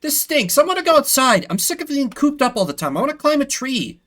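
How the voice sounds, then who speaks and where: Man voicing a blunt, lively little girl. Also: extremely high pitch, fairly quick, pitched above the performer's own voice, exaggerated voice. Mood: restless, defiant. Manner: blunt, childlike phrasing. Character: cheeky, tough, energetic young girl.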